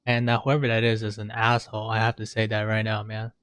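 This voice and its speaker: A man giving casual, sarcastic commentary in a deadpan manner as he plays a video game. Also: fairly low pitch, fast, smooth, no echo. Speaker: gamer commenting on his playthrough.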